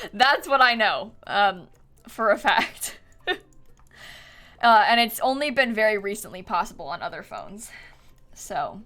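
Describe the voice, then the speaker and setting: A woman's voice: mid-range voice, sing-song, slow, long pauses, bright, forceful tone. A young woman live game streamer chatting casually and informally with her viewers, with a giggly manner. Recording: music playing behind. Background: music, typing.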